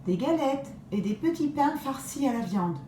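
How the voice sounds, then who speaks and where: A woman voicing a kind old grandmother in a storybook, answering gently and plainly. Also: sits high, animated, normal speed, distant mic, a little hiss or hum, voice pushed up. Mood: calm. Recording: roomy echo.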